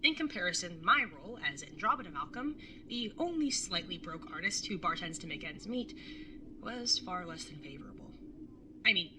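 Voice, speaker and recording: A woman's voice: on the low side, sing-song, normal speed, background noise. A woman playing a wry, jaded young artist bartender in an audio drama, sardonic and casually sweary as narrator and older sibling. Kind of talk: first-person audio drama narration and dialogue.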